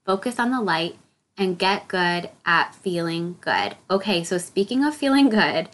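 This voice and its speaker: A woman's voice: lowish voice, very animated, measured pace. A woman hosting her solo podcast, a little nasal and congested from a head cold, explaining a topic in an upbeat way. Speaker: podcast host.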